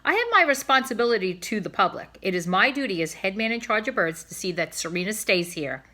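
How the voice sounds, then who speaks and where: A woman's voice: medium pitch, expressive, fairly quick, pushed and bright. A woman reading a kindly but firm zoo boss. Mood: firm, insistent. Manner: emphatic, formal, persuasive. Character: thoughtful male zoo bird keeper, kindly but firm.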